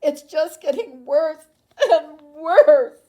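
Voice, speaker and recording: A woman's voice: sits high, slow, dark tone, pitched above the performer's own voice. A woman voicing a worried, overwrought mother in a children's storybook. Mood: despairing. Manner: wailing, overwrought. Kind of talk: children's storybook read-aloud.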